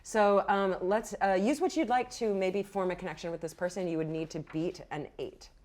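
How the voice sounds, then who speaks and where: A woman running a tabletop game as game master, improvising the narration in a halting, thinking-aloud way. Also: mid-range voice, darkish tone.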